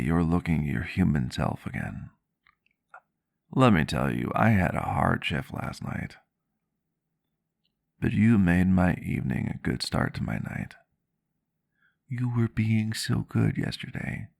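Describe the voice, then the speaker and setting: Gentle vampire doctor played by a man, bottomless bass, soothing and courteous, close dry ASMR recording. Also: creaky, no room sound, full character voice. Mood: fond, cheerful. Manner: soft-spoken, formal wording, reassuring. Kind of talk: roleplay ASMR, soft intimate acting. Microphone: close microphone, dry sound.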